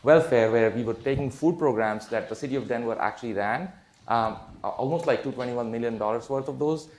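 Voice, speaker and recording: A man's voice: medium pitch, brisk, a little mellow, slight background noise. Man panelist rambling in an echoey hall. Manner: rambling, many fillers and restarts. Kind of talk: conference panel answer. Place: conference hall. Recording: echoey hall with faint clicks.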